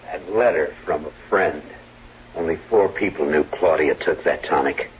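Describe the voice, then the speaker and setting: An actor playing a grim, coolly accusing husband in a 1970s radio drama, with a measured, deliberate delivery. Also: male voice, rumbling low, slightly rough, full character voice. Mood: cold, accusing. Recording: muffled, humming 1970s radio recording.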